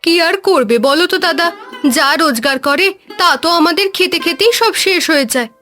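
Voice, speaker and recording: A woman's voice: extremely high pitch, expressive, a little fast, a bit bright, faint music behind. A woman voicing a patient village wife in a cartoon, who comforts her husband. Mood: comforting.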